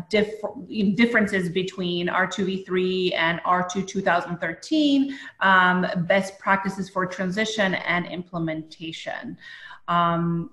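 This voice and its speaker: A woman's voice: on the low side, a bit bright. A woman hosting as a consultant, talking conversationally in a panel discussion. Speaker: host and consultant. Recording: roomy, echoing sound.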